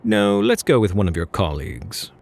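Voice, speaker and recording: A smug phantom thief, played by a man, purrs in a deep, husky voice with plenty of gravel. His delivery swings playfully up and down, theatrical and flirtatious. It is ASMR roleplay, full of teasing mock politeness. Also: wildly swooping pitch, moderate pace, heavily acted. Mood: smug, mock-caring.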